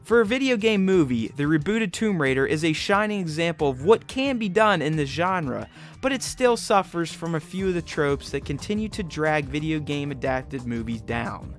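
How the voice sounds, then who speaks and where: Higher-pitched man reviewing films over music. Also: expressive, normal speed. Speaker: film reviewer and host. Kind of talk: scripted review narration. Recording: music bed behind voice. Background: background music.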